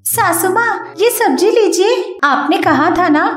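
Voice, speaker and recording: A woman's voice: very high voice, expressive, slow, over music, voice pushed up, heavily acted. A woman voicing a sly young daughter-in-law who talks in a fake-sweet, over-polite way. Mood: sugary. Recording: echoey room.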